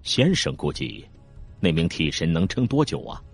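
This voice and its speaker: A man acts out a calm merchant leader in a historical audiobook. His voice sits extremely low, and he speaks with measured, deferential respect over music. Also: exaggerated voice. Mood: questioning. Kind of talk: audiobook character acting in a period drama.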